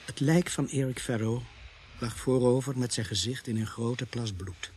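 A man narrating a radio drama as a grave storyteller with a husky voice. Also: medium pitch, moderate pace, a little mellow, slight background noise, natural voice. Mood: grave, descriptive. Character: grave male narrator recounting grisly murder scenes.

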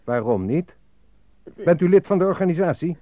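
A narrow, phone-like and very dry recording of a man acting a stern interrogator in a radio play. He is cold, suspicious and clipped, with a slightly high voice. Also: lively intonation, moderate pace, no echo, natural voice. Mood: accusing, stern. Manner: clipped, insistent questioning. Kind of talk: Dutch radio drama acting.

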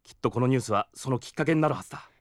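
A man's voice: mid-range voice, racing, no echo, clean studio sound, lightly acted. A man playing an ambitious, jealous, hot-headed young newspaper reporter in an anime audio drama. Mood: eager, ambitious. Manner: brash, excitable.

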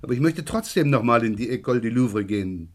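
An actor playing a middle-aged police inspector in a German radio crime drama, gruff, probing and impatient. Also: male voice, medium pitch, lively intonation, fast, lightly acted. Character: brisk, probing French police inspector, the boss.

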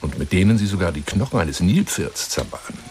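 A man's voice: deep voice, expressive, a little fast, darkish tone, slight background noise. An actor playing an eccentric, obsessive lion hunter who woos a woman by telephone in an effusive, insinuating radio play monologue. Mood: insinuating, coaxing. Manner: effusive, insinuating, swinging between whispers and exclamations.

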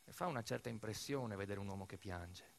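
A man performs a dramatic narrative monologue as an actor, telling the story deliberately in short, weighted phrases. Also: on the low side, creaky, a little hiss or hum. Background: music.

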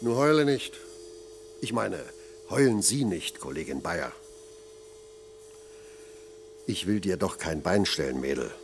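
An actor playing a blunt, patronising middle-aged factory foreman with a gravelly voice in a German radio play. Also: deep voice, lively intonation, normal speed, a little hiss or hum. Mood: regretful, paternal. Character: gruff paternal older factory foreman.

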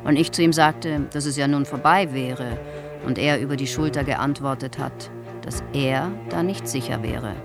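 An actor playing an old man who survived the camps, giving a deliberate, reflective witness account in a radio drama. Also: mid-range voice, gravelly, natural voice. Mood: haunted recollection. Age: older. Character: old male concentration camp survivor, haunted, plainly recalling. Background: guitar music.

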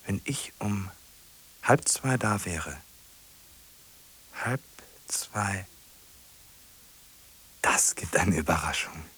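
An actor playing a solitary middle-aged man in a radio drama, ruminating in short questioning fragments with a husky, breathy voice. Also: sits low, expressive, extremely slow, pauses often, sharp tone, exaggerated voice. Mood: brooding, resolving. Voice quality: husky, breathy, often sinking to a whisper. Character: solitary man fixated on a lonely woman, musing.

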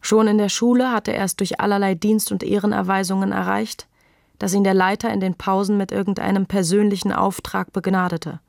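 A narrator reading scripted literary prose for a radio play in a measured, articulate manner. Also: medium pitch, flat delivery, gravelly, darkish tone, no echo, clean studio sound.

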